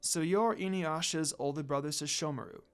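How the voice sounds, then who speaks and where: A man's voice: a little high, moderate pace, clean tone, natural voice. Man voicing a solemn undead priestess, cold and hateful. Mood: stern warning.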